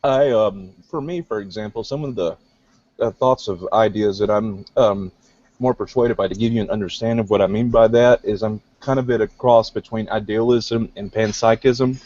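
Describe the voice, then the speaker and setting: Deep-voiced male guest, calling in from a gym over a dull lo-fi online call, hesitant and thoughtful. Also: fairly even intonation, moderate pace, darkish tone. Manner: thoughtful, hesitant, many fillers. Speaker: guest newcomer. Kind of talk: casual online group discussion. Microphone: video call.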